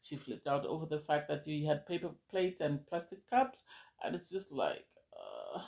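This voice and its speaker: A woman's voice: deep voice, sing-song, normal speed, creaky, darkish tone. Opinionated woman with a sore throat. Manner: opinionated, exasperated questioning. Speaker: reality TV reviewer. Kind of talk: casual video review.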